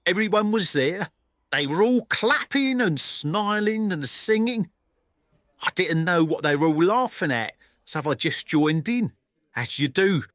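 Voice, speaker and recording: A cheerfully vague, forgetful giant of a cartoon man played by a male actor in an audio drama. His voice sits fairly high and is closely recorded, and he rambles as he recounts things. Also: animated, unhurried, fairly bright tone, dry recording, clean studio sound. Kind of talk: mock documentary interview in audio drama.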